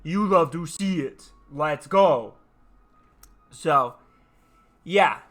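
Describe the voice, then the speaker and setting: A man hosting a livestream, sounding tired yet animated, chatting casually and haltingly as he repeats his phrases. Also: fairly high pitch, faint music behind. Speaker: livestream host. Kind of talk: casual rambling chat to viewers.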